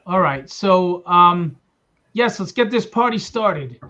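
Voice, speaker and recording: A man's voice: high voice, animated, slow, bright, forceful tone. A man hosting a livestream launch party for his comic, joking and teasing his guests as he hypes the campaign. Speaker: host and comic publisher. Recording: dull lo-fi stream audio.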